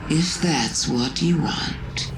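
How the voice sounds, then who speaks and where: An actor playing a sly, manipulative deceiver who feigns friendship in a video game cutscene, speaking with slow, insinuating theatricality. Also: male voice, on the high side, vocal fry, noisy background, exaggerated voice. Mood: silky reproach. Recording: roomy echo with music underneath.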